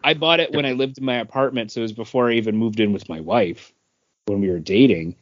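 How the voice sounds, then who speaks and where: A man's voice: medium pitch, fast talker. A man in his thirties, co-hosting a podcast in casual chat, rambling with lots of fillers and restarts. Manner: rambling, filler-heavy storytelling. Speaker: podcast co-host. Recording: dull lo-fi sound.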